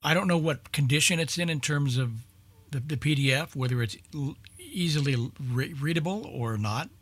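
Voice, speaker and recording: A man's voice: fairly high pitch, expressive, normal speed, fairly bright tone, dry recording. A man chatting casually about a hobby, as a participant in an informal radio talk. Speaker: old-time radio show participant discussing lost shows. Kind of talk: casual chat.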